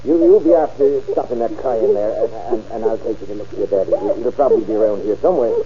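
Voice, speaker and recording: A man's voice: on the low side, quick pace, a little hiss or hum, lightly acted. An actor playing a kindly middle-aged patrolman who stammers and coaxes a lost boy, in 1940s radio drama style. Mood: coaxing. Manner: stammering, coaxing and fatherly. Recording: dull old radio recording.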